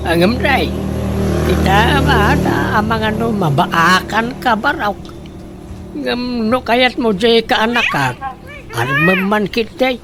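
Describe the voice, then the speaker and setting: A woman's voice: fairly high pitch, lively intonation, slow, over loud music. A woman playing a meddling older relative in a radio drama, chatty and persuasive as a matchmaker. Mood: teasing, coaxing.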